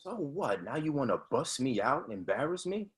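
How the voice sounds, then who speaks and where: A man's voice: medium pitch, sing-song, moderate pace, lightly acted. A man playing an exasperated, defensive college-age son in a play reading. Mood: angry, defensive. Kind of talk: readers theatre play reading.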